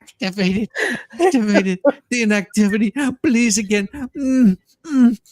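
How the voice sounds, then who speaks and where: Man playing a melodramatic, pleading woman prisoner, high-pitched. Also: a little mellow, dry recording, natural voice. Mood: pleading, overwrought. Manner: theatrical, drawn-out innuendo-laden delivery. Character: breathy, pleading, suggestively moaning female prisoner writing to judge. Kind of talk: comedic dramatic letter reading.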